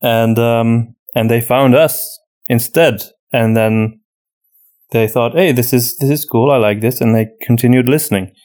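A man's voice: medium pitch, steady tone, unhurried, darkish tone. A man co-hosting a podcast, chatting casually as he answers listener questions. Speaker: podcast host and audio drama writer.

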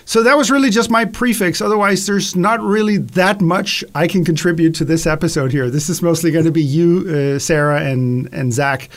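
A man's voice: a little high, normal speed, hardly any pauses. A man hosting a casual chat, rambling and restarting his sentences a lot, apparently over a video call. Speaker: host.

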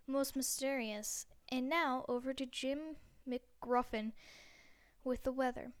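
A woman's voice: high voice, steady tone, takes it slowly, smooth, dry recording, clean studio sound. A woman reading aloud, voicing a formal male television newsreader. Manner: formal news delivery.

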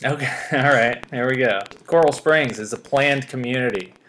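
A man's voice: medium pitch, normal speed, a bit bright, slight background noise, low-quality recording. A man from Tennessee hosting a casual podcast, chatty, reading facts aloud to his guest. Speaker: podcast host.

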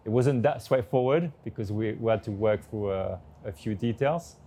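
A man's voice: on the low side, moderate pace, dark tone, husky. A man who runs a tennis tournament, answering interview questions hesitantly with lots of fillers. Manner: hesitant, many fillers and restarts.

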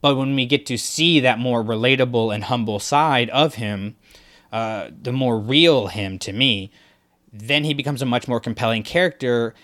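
A man's voice: mid-range voice, normal speed, a bit bright. A man co-hosting a podcast, talking things through in a rambling, thoughtful way with fillers and restarts. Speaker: podcast co-host. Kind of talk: casual discussion podcast.

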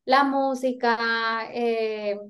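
A woman's voice: fairly high pitch, steady tone, very slow, a bit bright. A Colombian woman in her thirties, a guest in a casual interview, talking in an earnest, rambling and reflective way.

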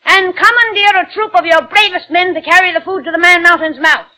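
Sly tiny general, high, bright voice, old radio. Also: male voice, brisk, exaggerated voice. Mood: officious. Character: scheming male Lilliputian general.

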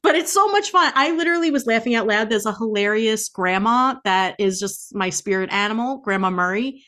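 A woman's voice: on the high side, expressive, brisk, bright, forceful tone. Candid woman podcaster chatting casually. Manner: informal, candid, opinionated. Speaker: podcast co-host. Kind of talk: casual book-review chat.

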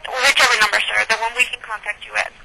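A woman working as a hotel phone operator, patient and formal, speaking deliberately over a breaking-up telephone line. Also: a little high, fairly even intonation, gravelly, loud music behind the voice. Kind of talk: customer service phone call.

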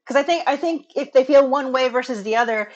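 A woman's voice: sits high, a little fast, dull audio. A woman hosting a podcast, chatting casually and affirming her guest often. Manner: affirming, with frequent agreement and fillers. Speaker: podcast host. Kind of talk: casual interview chat.

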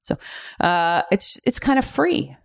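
A middle-aged woman with a Canadian accent, chatting casually and reminiscing as an interview guest, quick to laugh. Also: mid-range voice, sing-song, gravelly. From Vancouver, Canada. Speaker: podcast guest, cookbook author.

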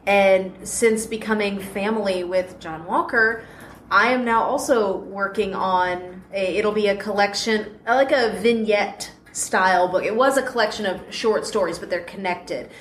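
A woman's voice: medium pitch, measured pace, a bit bright. A woman in her thirties, a podcast host, chatting casually and laughing often as an interview guest at a convention panel. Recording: roomy echo, faint noise.

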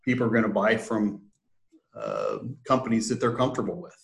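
A man's voice: on the low side, fairly quick, creaky. A man, a sales director, explaining plans in an interview, hesitant with many fillers. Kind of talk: conversational business interview.

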